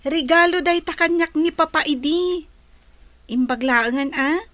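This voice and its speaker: An actress plays a kind schoolgirl classmate in a radio drama. The voice is high and girlish, recorded dry and close, with a helpful manner. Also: unhurried, a bit bright, dry recording.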